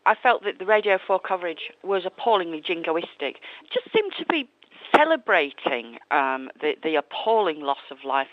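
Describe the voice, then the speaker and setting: A woman's voice: fairly low pitch, no room sound. A woman from Essex, giving a critical listener's comment. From Essex, England. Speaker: listener phoning in. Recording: dull phone line.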